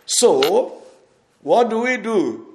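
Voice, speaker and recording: A Yoruba-speaking man from Nigeria with a Nigerian Yoruba accent, preaching a sermon slowly and distinctly. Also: high-pitched, sing-song, gravelly, slight background noise. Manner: slow, deliberate and distinct.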